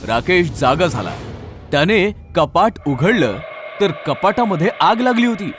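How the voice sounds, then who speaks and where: A man's voice: sits high, very animated, measured pace. A man narrating a scripted horror story in a dramatic storytelling style. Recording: clear music under narration.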